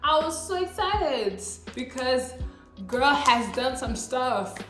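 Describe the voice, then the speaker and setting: Brief: late-teen woman, non-native English, upbeat chatty vlogger, unhurried delivery, echoey room, faint music behind. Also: sits high, expressive, a bit bright. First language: not English. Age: late teens. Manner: chatty, upbeat, slang-filled. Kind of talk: casual scripted vlog.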